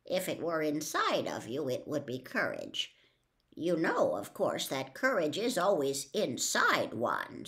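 A woman's voice: sits low, full character voice. Woman reading as a mild humbug wizard. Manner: mild, confiding, gently pleasant. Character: humble male wizard, a self-admitted humbug.

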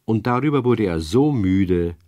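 A male storyteller narrates a children's radio play in a lowish voice. His delivery is expressive and very animated, recorded dry and close. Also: unhurried, no room sound.

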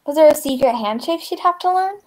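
A woman's voice: high-pitched, lively intonation, fairly quick, poor audio. A teenage girl reading a picture book aloud as part of a drama club, recorded over a video call.

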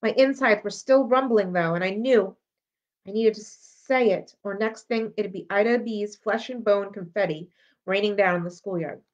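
Woman, expressive read-aloud, children's novel, medium pitch, dull lo-fi recording. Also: moderate pace. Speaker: library read-aloud reader. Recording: dull lo-fi audio.

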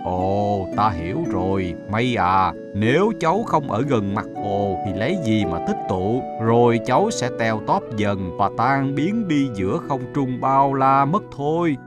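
An actor voicing a kindly old grandfatherly sun in a cartoon fable, speaking in a measured, moralising way. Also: mid-range voice, very animated, over music. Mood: gently wise.

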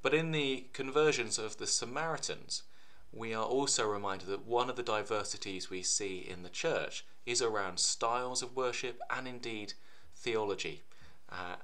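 Bright-voiced vicar preaching. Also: male voice, fairly low pitch. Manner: earnest, steady preaching. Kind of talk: reflective sermon to camera. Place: living room at home. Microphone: webcam at desk.

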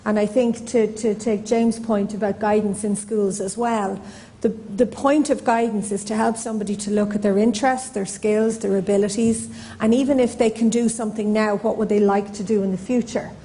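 A middle-aged woman explains her view on a conference panel in a measured way, with informal asides. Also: medium pitch, steady tone, mellow. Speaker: panelist, guidance professional. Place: indoor conference hall stage.

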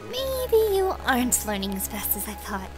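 A woman's voice: on the high side, wildly swooping pitch, normal speed, music in the background. A woman playing a composed angel guide in an audio drama, explaining things in a matter-of-fact way. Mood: mildly teasing. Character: composed female angel guide, mildly teasing.

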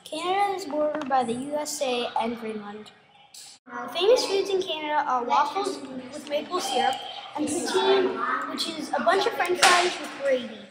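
High-voiced student in an echoey room. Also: expressive, normal speed, heavy background noise. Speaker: student presenter. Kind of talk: reading a school presentation. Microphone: video call.

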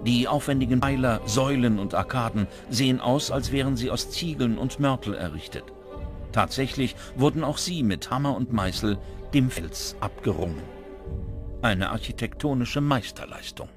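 A man's voice: mid-range voice, animated, moderate pace. A man reading scripted documentary narration as narrator. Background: music under the voice.

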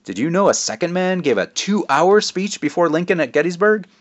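A booming, theatrical dad who is always cracking jokes, his voice raised well above a plain speaking pitch. A man acts him out in a storybook reading, playing him loud and teasing. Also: big pitch swings, brisk. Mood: enthusiastic. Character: loud, joking, embarrassing middle-aged dad.

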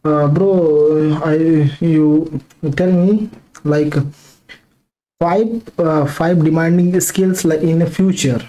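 A young man chatting with strangers in halting English, hesitant and full of fillers, on a headset in a small dark room. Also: on the high side, fairly even intonation, low-quality recording. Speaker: streamer talking with strangers. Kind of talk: casual video chat in halting English.

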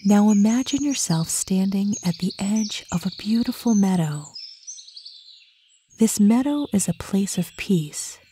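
Slow, mellow woman guiding meditation over birdsong. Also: medium pitch, a little hiss or hum. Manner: calm, soothing, unhurried with long pauses. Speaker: guided meditation narrator. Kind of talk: guided meditation, slow scripted narration. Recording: birdsong and nature ambience behind. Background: birdsong, outdoor nature sounds.

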